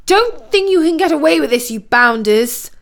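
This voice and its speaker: A woman's voice: a little high, sing-song, normal speed. A woman voicing an anxious, earnest young prince in a storybook, with old-fashioned exclamatory phrasing. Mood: indignant.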